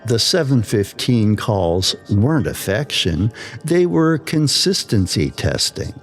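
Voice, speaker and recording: A man narrating a scripted slow-burn horror story in a measured way with dramatic pauses. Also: fairly low pitch, very animated.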